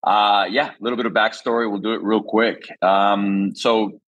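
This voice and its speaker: A man in his forties who grew up in Chile, speaking in a very low voice as he walks through his career on a podcast. He talks easily and loosely, with many pauses, fillers and restarts. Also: lo-fi sound. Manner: relaxed, with frequent fillers and restarts. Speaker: podcast guest, wine executive. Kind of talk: casual interview chat.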